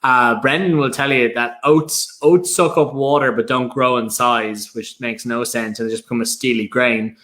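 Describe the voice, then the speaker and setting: A man's voice: medium pitch, fairly even intonation, normal speed, hardly any pauses, fairly bright tone. An Irish man hosting a casual live stream, telling stories with lots of fillers and restarts. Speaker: host.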